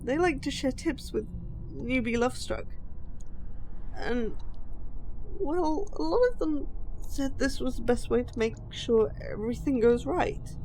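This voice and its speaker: A woman acting an apologetic, lovesick kidnapper in an audio roleplay, speaking to the listener in a halting, flustered way. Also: sits high, dark tone, slight background noise, poor audio. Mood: obsessive, hesitant.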